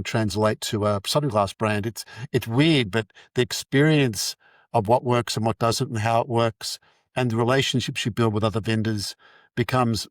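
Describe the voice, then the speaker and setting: A man's voice: mid-range voice, no echo, studio quality. Rambling middle-aged male podcast host. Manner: rambling, many restarts and fillers. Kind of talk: casual interview chat.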